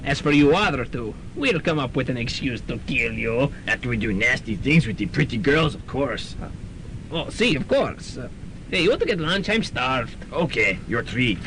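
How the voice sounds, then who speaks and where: A man's voice: medium pitch, sing-song, moderate pace. A man playing a bossy, lecherous terrorist captor, barking in broken English in a comic radio drama. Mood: bossy, threatening. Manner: blustering, barked orders in broken English. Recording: noisy student radio recording.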